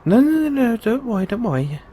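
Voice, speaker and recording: A man's voice: very high voice, big pitch swings, a little fast, mellow, husky, full character voice. A man playing a curious, reassuring investigative journalist in an intimate audio roleplay, musing and coaxing with hesitant phrasing. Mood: reassuring, persuasive. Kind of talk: intimate one-sided audio roleplay acting.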